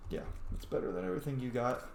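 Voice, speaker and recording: A man's voice: mid-range voice, expressive, rapid-fire, a little mellow. Man muttering game commentary in echoey room. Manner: muttering to himself in short fragments. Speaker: gamer narrating a roleplay playthrough. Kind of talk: casual gameplay commentary. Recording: echoey room, faint background noise.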